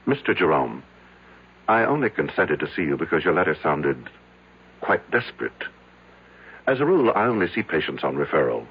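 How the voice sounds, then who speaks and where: An actor in a 1970s radio drama playing a formal, guarded doctor with a clinical manner. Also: male voice, rumbling low, very animated, moderate pace, pauses often, slightly rough, full character voice. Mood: formal, reserved. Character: formal, guarded doctor receiving a troubled patient. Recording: dull old radio broadcast.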